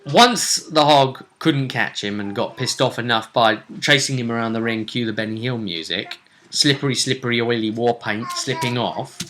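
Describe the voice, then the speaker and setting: A man casually recapping a tabletop role-playing tournament as its host, telling it in halting bursts with dramatic repetition. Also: medium pitch, fairly bright tone. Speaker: host and game master.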